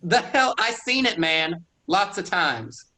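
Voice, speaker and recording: A man's voice: high-pitched, animated, takes it slowly, sharp tone, heavily acted. A man playing a bitter, curt farm boy. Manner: sullen, curt, defensive. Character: rural young man, stubborn and bitter. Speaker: actor in a staged reading.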